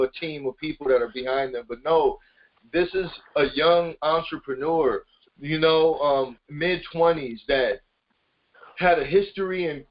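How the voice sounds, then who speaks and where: A man hosting a casual motivational group call, speaking informally and hyping up his listeners directly. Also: fairly high pitch. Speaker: conference call host. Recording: muffled lo-fi conference call.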